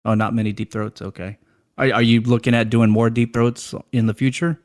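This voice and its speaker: A man playing it straight as an official-sounding agent on a prank phone call, speaking in a hesitant, deadpan patter. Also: mid-range voice, a little fast, dry recording, studio quality. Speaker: prank caller posing as consumer center agent.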